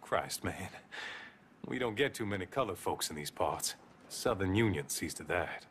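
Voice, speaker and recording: An actor playing a weary, plainspoken small-town preacher in a video game, blunt yet solemn. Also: male voice, low-pitched, expressive, normal speed, fairly bright tone, a little hiss or hum. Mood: weary, bitter. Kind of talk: video game cutscene acting.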